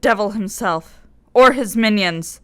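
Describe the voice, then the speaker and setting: An actress playing a fierce, commanding leader of guards in a radio play, giving forceful orders. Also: female voice, mid-range voice, a little slow, natural voice. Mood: defiant. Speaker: actor. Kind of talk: radio drama acting.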